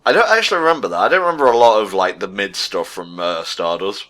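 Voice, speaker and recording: A man's voice: on the low side, expressive, quick pace, pushed and bright. A man doing joking game commentary with dramatic readings, in a sarcastic, profane manner. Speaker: playthrough commentator.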